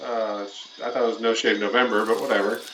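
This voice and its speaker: A man's voice: on the low side, flat delivery, quick pace, a bit bright, soft background music. A young man streaming a game, chatting casually with a crude, teasing humor and laughing at his own jokes. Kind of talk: casual joking chat while gaming. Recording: roomy, distant, noisy home stream.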